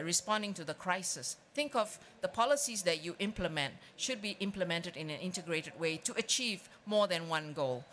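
A woman from Indonesia closing a conference keynote, speaking in a measured way with frequent pauses and fillers. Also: on the low side, fairly bright tone, slight background noise. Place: large conference hall.

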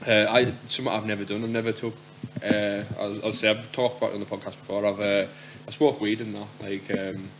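A man hosting a podcast, chatting in a relaxed, agreeable way. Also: fairly low pitch, brisk, rough recording. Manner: relaxed, agreeable, lots of "yeah". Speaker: podcast host. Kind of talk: casual podcast chat.

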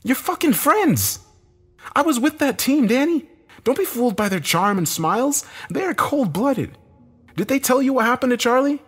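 Man acting a desperate, bitter man, pitch soaring, husky. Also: expressive, normal speed. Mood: bitter, furious. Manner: agitated, swearing, urgent. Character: Josh, desperate bitter man, cornered game loser.

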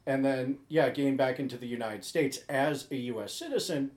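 A man's voice: mid-range voice, a little fast, darkish tone. A man co-hosting a podcast in casual chat, patiently explaining things while stumbling over and repeating his words. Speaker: podcast co-host. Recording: roomy echo.

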